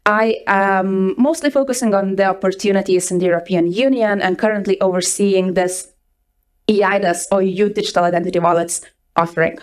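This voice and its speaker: A young woman from Lithuania with a Lithuanian accent, giving a lively conference talk with a personal story to a live audience. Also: medium pitch, brisk. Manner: energetic, chatty, repeats words for emphasis. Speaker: conference speaker. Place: conference hall before an audience.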